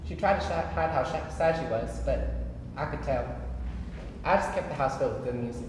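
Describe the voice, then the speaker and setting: A man's voice: fairly high pitch, animated, brisk, close to an everyday voice. Man acting a guarded young man, very echoey hall. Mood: earnest, protective. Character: guarded, earnest young country man, quietly protective. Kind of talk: staged play reading. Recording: echoey hall, noisy live stage pickup.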